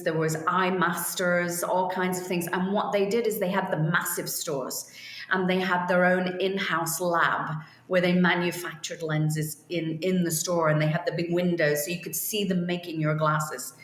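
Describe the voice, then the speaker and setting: An older woman from Liverpool with a softened English accent, a business owner talking through her career in an interview, measured and thoughtful. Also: lowish voice, level tone. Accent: softened English, Liverpool roots. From Liverpool, England. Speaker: optical store owner, guest. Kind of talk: remote interview conversation. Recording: roomy echo.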